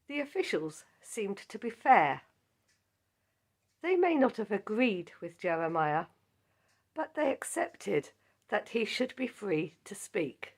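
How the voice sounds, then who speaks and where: Slow-speaking croaky-voiced woman teaching Bible study. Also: medium pitch, sing-song. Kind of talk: scripted Bible lesson.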